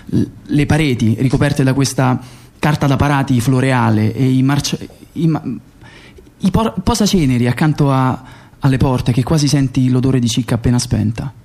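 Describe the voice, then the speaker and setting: An actor playing a secret agent who recounts his training and mission in a measured, precise radio theatre monologue. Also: mid-range voice, gravelly, mellow, lightly acted. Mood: controlled, precise.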